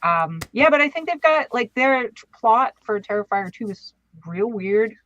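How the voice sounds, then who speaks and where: A woman chatting casually as a podcast co-host, deliberate and emphatic, repeating words for stress. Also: on the high side, lively intonation, fairly bright tone, muffled recording.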